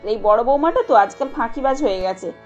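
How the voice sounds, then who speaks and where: Woman acting a nagging, high-voiced mother-in-law. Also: lively intonation, fairly quick, darkish tone, muffled recording, faint music behind, close to an everyday voice. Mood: scolding. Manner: scolding, sarcastic, nagging. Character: stern, fault-finding older mother-in-law, female. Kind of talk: cartoon story acting.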